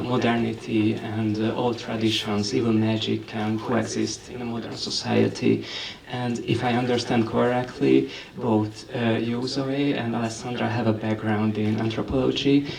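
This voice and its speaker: A man, a film critic, asking the filmmakers measured, hesitant questions at a festival. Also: medium pitch, little pitch movement, gravelly, a crowd in the background. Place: festival hall with audience. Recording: distant mic in a crowded hall.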